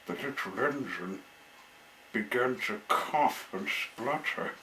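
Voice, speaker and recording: A man's voice: mid-range voice, animated, takes it slowly, sharp tone, a little hiss or hum, rough recording. A man reading a children's storybook aloud as the storyteller.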